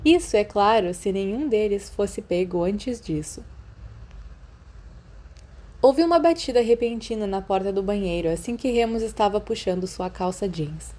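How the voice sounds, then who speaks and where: Mellow Brazilian woman reading a story. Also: mid-range voice, lively intonation, normal speed. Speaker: audiobook narrator. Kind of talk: scripted fan fiction narration.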